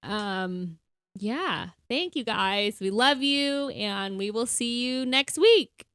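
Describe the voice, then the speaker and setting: A woman's voice: a little high, animated, slow pace, fairly bright tone, no echo, clean studio sound. A woman co-hosting a casual faith-based podcast, talking in an earnest way and quoting scripture. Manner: earnest, quotes scripture, laughs easily. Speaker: podcast co-host.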